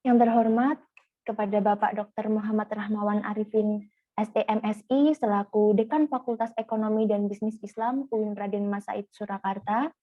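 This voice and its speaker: A woman's voice: mid-range voice, no echo. A woman with an Indonesian accent, emceeing an online event formally, reading out honorifics and the agenda. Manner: formal, ceremonious, reads the agenda. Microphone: video call. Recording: dry, tinny call audio.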